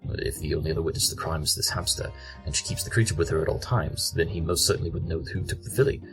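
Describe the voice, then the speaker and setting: A man's voice: a bass voice, quick pace, soft background music, poor audio. A man playing a brilliant, tactless pony detective in an audio drama, clipped and pompous. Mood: brisk, confident.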